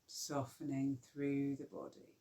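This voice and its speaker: A woman guiding a yoga nidra relaxation, speaking softly and soothingly with long pauses. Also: rumbling low, little pitch movement. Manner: slow, soft, soothing guidance with long pauses. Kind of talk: guided relaxation meditation.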